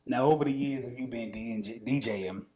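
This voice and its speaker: A man's voice: mid-range voice, brisk, a crowd in the background. An American man hosting a casual interview show, asking questions in an informal, slangy, conversational way.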